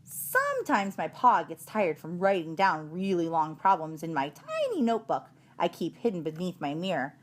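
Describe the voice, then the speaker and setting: A woman voicing a small, eager, chatty male classroom hamster telling his story with earnest excitement. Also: fairly low pitch, normal speed, few pauses.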